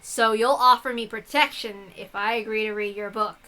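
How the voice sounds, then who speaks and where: Woman playing a blunt, bright-voiced defiant young woman. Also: medium pitch, fairly even intonation, moderate pace, lightly acted. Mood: skeptical. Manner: curt, loud, sarcastic. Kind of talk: visual novel read-aloud.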